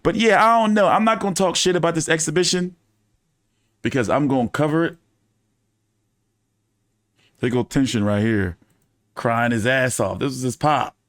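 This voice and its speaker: A man's voice: medium pitch, animated, normal speed, long pauses, a bit bright, no echo, studio quality. Profane, joking male live-stream host. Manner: loose, profane, joking banter. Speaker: boxing commentary host. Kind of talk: casual live-stream fight commentary.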